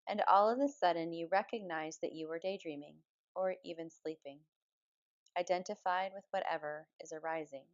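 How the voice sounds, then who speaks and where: A woman leading a guided yoga nidra relaxation as the teacher, speaking in a calm, measured, soothing manner. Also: on the low side, lo-fi sound. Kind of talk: guided meditation, slow spoken relaxation instruction.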